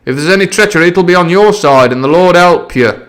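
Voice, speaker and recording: A man's voice: high-pitched, fairly quick, a bit bright, clean tone, pitched above the performer's own voice. Man doing a stern, clipped ship's captain. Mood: cold. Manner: clipped and commanding. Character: stern, cold middle-aged ship's captain.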